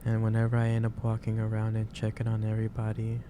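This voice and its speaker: Guy doing a gentle boss asmr roleplay, really monotone, halting n reassuring, noisy background. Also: lowish voice, lightly acted. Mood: fond, concerned. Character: gentle, caring male office boss, mildly lecturing. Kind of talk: ASMR roleplay, soft improvised monologue. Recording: clear background noise, ambience.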